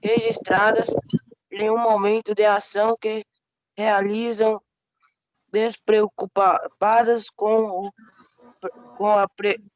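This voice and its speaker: A hoarse student with a cold, reading a textbook passage aloud in class with halting stumbles. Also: male voice, high-pitched, level tone. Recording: noisy lo-fi call audio.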